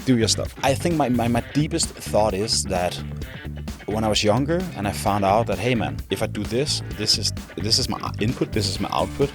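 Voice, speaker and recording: Deep-voiced Danish man in his twenties. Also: moderate pace, music in the background. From Denmark. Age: 20s. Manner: rambling, many restarts and repeated words. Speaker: guest, company founder. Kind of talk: casual interview talk.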